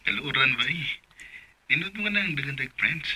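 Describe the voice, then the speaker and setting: A man acting as a shy, smitten young suitor with a Cebuano accent in a radio love story. His mid-pitched voice has a sharp, husky edge. He courts earnestly and haltingly. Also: lively intonation, no echo, full character voice, rough recording. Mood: curious, friendly. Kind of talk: radio romance drama acting.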